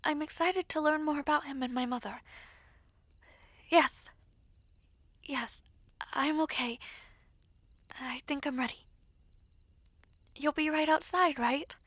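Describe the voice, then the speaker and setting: A woman performs a modest, anxious young princess in an audio roleplay. Her voice sits very high and moves slowly, with hesitant, breathy politeness. The sound is close and dry. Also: fairly even intonation, dry recording. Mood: anxious, tender. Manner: hesitant, soft-spoken, breathy murmurs.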